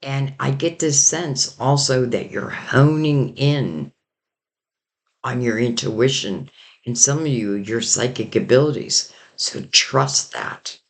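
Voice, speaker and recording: Slow-talking man with a fading voice. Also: mid-range voice, gravelly. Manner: rambling, loose and intuitive, many "like" and "you know". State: losing his voice, hoarse. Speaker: tarot reader. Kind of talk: casual spoken tarot reading.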